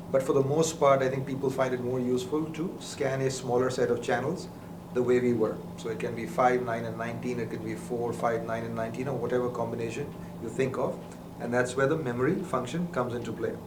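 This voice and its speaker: A man's voice: mid-range voice, darkish tone. Man giving a product walkthrough, echoey and distant. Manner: methodical, explanatory. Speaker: product demonstrator. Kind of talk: unscripted instructional product walkthrough. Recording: roomy, distant mic with clear background noise.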